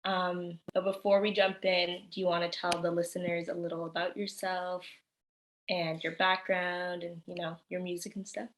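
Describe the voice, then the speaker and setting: A woman's voice: on the low side, little pitch movement, normal speed. A young woman hosting a casual podcast interview, encouraging her guest with frequent "mm"s and "um"s. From born in England, raised in Canada. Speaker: podcast host.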